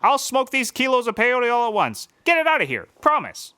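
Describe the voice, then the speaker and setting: An actor playing a cocky, oblivious detective who rambles with pompous, deadpan self-assurance in a scripted audio comedy. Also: male voice, very high-pitched, moderate pace, clear, resonant tone, full character voice. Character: cocky dim-witted male private detective, oblivious and drug-loving.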